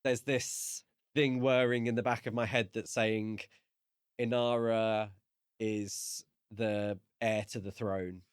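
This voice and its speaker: A man co-hosting a podcast, chatting casually about a book and reasoning his way through in hesitant, measured phrases. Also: on the low side, flat delivery, clean tone, no room sound, clean studio sound. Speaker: podcast co-host. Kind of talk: casual book discussion chat.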